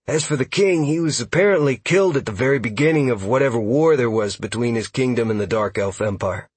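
A man's voice: medium pitch, expressive, brisk, breathy edge, dry recording. A man voicing a nervous, eager male elf scout with a hesitant, apologetic manner, as in an audiobook. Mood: eager, explanatory. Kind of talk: audiobook character dialogue.

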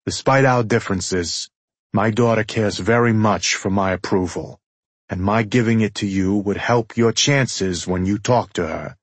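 A man's voice: on the low side, a little slow, no echo. A man voicing an older mobster father-in-law in an audiobook, formal, mocking and defiant. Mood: stern, bargaining.

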